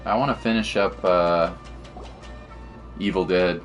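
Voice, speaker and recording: A man's voice: low voice, a little slow, faint music behind. A man chatting casually on a game live stream in an offhand, slangy way.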